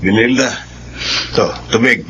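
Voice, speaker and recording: An actor with a Filipino accent playing a friendly, teasing shop owner in a conversational radio drama scene. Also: male voice, mid-range voice, a little slow, background noise, close to an everyday voice. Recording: dull audio, faint music.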